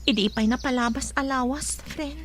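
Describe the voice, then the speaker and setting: A woman plays a young expectant daughter in an Ilocano radio drama. Her voice sits very high, with an Ilocano accent, and she speaks respectfully, with a soft-hearted, worried air. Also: moderate pace, slight background noise, lightly acted.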